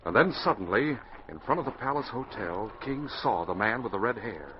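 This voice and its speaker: A man's voice: on the low side, expressive, fairly quick. A man narrating a 1940s radio serial from a script as a dramatic storyteller. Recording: muffled old radio recording, faint hiss.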